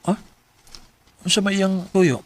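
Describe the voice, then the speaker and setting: A man's voice: high voice, slow. A man with a Filipino Bisaya accent acting a lovesick, jealous young security guard in a radio drama, questioning insistently and emotionally. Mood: polite, uneasy.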